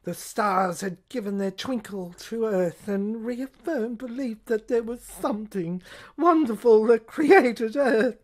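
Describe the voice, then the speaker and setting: A woman performing a scripted dramatic monologue as the narrator of an audio play, with theatrical, halting phrasing that can fall toward a whisper. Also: fairly high pitch, a little mellow.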